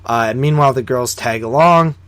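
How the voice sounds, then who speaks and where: Male podcast co-host, medium pitch, informal and conversational film-review chat. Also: normal speed, fairly bright tone, smooth, no echo.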